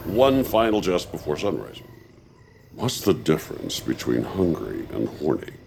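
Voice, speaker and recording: A man playing a hungry, crude monster who jokes gleefully in a slow, guttural voice in an audio drama. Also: rumbling low, big pitch swings, a little hiss or hum, full character voice. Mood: gleeful menace. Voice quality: gravelly, guttural. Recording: loud music and effects underneath.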